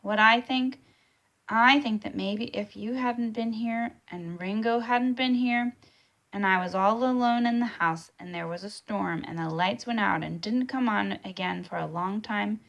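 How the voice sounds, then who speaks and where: A woman's voice: mid-range voice, unhurried, gravelly, fairly bright tone, highs cut off. A woman voicing an eager, curious young boy who questions his grandfather in a storybook read-aloud. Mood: wondering. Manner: exclaiming, questioning.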